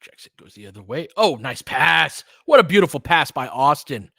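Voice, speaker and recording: Animated, bright-voiced man calling basketball. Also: a little high, normal speed, vocal fry, slightly rough, dry recording, clean studio sound. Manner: informal fan reactions, slangy. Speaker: host of a fan play-by-play stream. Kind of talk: live basketball play-by-play commentary mixed with casual chat.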